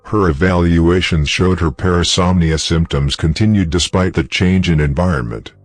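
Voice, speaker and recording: A man performs a clinical researcher with a deep voice, reading a case log for a horror story. His delivery is formal and detached. Soft music runs underneath. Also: normal speed, no echo, natural voice. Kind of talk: scripted horror story narration, case report.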